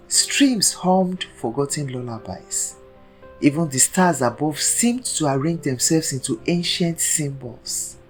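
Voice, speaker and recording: Slow, dramatic woman storyteller. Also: low voice. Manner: dramatic, deliberate storytelling delivery. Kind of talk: scripted folktale narration.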